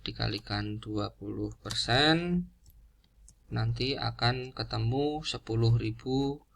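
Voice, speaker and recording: A man's voice: on the low side, gravelly, fairly bright tone. A man giving an unscripted lesson, explaining step by step in a didactic way and repeating key figures. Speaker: accounting lecturer.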